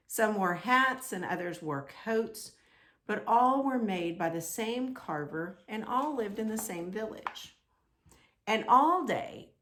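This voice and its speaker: A woman reading aloud to children in an expressive storytelling style, with deliberate emphasis on simple phrases. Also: medium pitch, gravelly.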